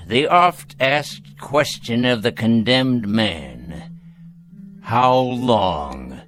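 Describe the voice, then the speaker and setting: A man's voice: mid-range voice, expressive, drawn-out words, a bit bright, faint music behind. An actor playing an elderly, dying rich man in a radio drama, cantankerous and sardonic, with florid speech. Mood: wry, probing.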